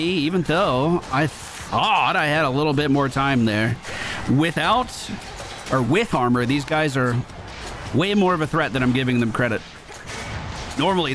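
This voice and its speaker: A man's voice: fairly high pitch, background noise. A male gaming streamer giving casual live commentary, chatting and thinking aloud as he plays. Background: game music.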